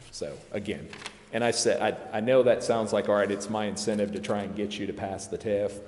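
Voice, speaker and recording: A man speaking as a lawyer advising a public meeting, explaining informally in a plain-spoken, discursive, self-deprecating way. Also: lowish voice. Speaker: lawyer advising a city council. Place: indoor council chamber. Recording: echoey hall with long reverb, background noise.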